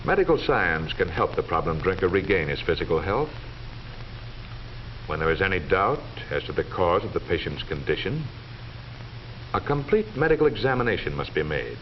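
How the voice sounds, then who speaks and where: Deep-voiced American man, vintage film narrator. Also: very animated. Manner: formal, measured, authoritative delivery. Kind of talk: 1940s scripted educational film narration. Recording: dull vintage 1940s film soundtrack, faint noise.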